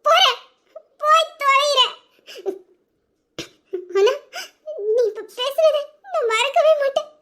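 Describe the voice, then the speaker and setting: A woman's voice: very high voice, fairly quick, fairly bright tone. A woman playing a young woman who coaxes and pleads with her lover in an audio drama. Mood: tearful. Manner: pleading, coaxing, breaking into tears. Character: young woman, coaxing and tearful sweetheart.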